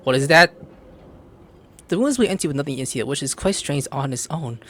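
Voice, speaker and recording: A man gives casual, playful gameplay commentary. His pitch swings in a lively way as he reacts and reads dialogue aloud, stumbling a little. Soft game music sits behind him. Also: brisk. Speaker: gaming video host.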